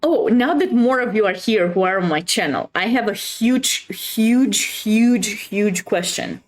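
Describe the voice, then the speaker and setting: A woman's voice: mid-range voice, lively intonation, a little slow. A woman with a Romanian accent hosting a chess livestream, chatting casually and expressively with viewers between bits of commentary.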